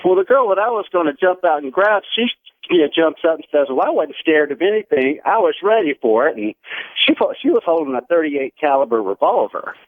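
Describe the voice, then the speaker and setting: Tinny phone line, American man, forties, slightly high voice, earnest storytelling, radio caller, dry sound. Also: very animated, fairly quick, no echo. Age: 40s. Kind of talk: recounting a personal anecdote. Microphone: telephone.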